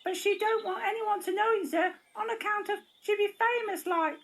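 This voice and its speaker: A woman's voice: very high-pitched, normal speed, a bit bright. A woman playing a solemn little country girl of about eight who speaks in rustic, deferential phrases, in an audio drama. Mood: solemn, earnest. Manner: rustic, ungrammatical, deferential speech.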